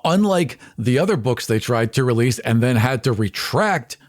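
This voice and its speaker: A man's voice: mid-range voice, lively intonation, normal speed. A man chatting casually and humorously as a panelist on a tabletop gaming podcast.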